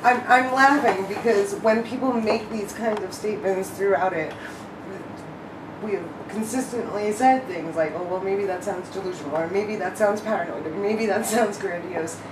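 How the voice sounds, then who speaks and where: A woman interviewing in a conversational way, with halting, reflective phrasing. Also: on the low side. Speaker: research interviewer. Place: indoor room, away from the mic. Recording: distant mic, echoey room, clear noise.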